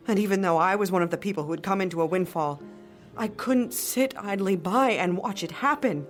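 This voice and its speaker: An actress playing a sharp-tongued, middle-aged professional woman with wounded pride in an audio drama. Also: fairly low pitch, lightly acted. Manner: sardonic, articulate. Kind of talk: audio drama acting and first-person narration.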